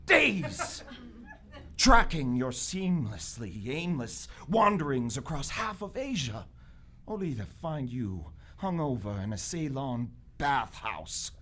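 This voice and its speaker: An actor playing an indignant, pompous English gentleman in live radio theater, blustering and formal. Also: medium pitch, sing-song, takes it slowly, a little hiss or hum. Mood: indignant, exasperated. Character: indignant, pompous young English gentleman, exasperated royal agent.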